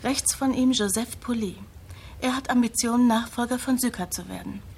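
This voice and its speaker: An actress playing a sober, knowing investigative journalist in a radio drama, direct and matter-of-fact. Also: female voice, fairly high pitch, moderate pace, a little mellow, slight background noise, natural voice. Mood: factual, serious. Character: sober, knowing female investigative journalist, loyal old friend.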